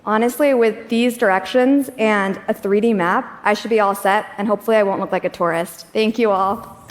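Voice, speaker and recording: A woman's voice: mid-range voice, moderate pace, slight background noise. A woman presenting a live demo on a conference stage, talking in a friendly, conversational way. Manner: friendly, conversational, laughs easily. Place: large conference hall stage. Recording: long reverb of a large hall.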